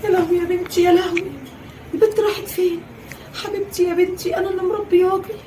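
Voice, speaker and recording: Woman as a fussy Egyptian stepmother, very high and quick-talking, mellow tone, a bit off-mic. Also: a little hiss or hum, close to an everyday voice. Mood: panicked. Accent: Egyptian Arabic. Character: fussy stepmother, doting then scolding. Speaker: actor in a family skit. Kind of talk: home-made family skit. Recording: voice a little far from the mic.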